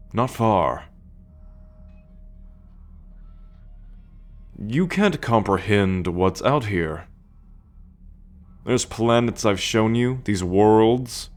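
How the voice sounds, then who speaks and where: A man playing a sardonic, coolly possessive male alien captor in an ASMR roleplay, speaking deliberately with a teasing condescension. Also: lowish voice. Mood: quiet warning. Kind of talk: ASMR roleplay monologue addressed to the listener.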